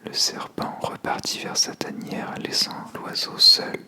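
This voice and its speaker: The voice in your headphones at bedtime, slowly whispering an old fable, soft and deliberate. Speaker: storyteller. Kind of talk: ASMR whispered scripted narration.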